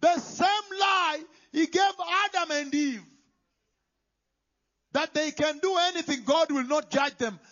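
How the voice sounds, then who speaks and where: A man preaching a sermon, emphatic, moving between loud declarations and hushed whispers. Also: very high voice, slow pace. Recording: dull lo-fi sound.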